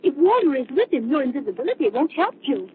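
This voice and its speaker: A woman's voice: high-pitched, sing-song, fast talker. An actress playing an inquisitive, devoted woman companion in a 1930s radio crime drama. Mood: alarmed, skeptical. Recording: tinny, noisy 1930s radio recording.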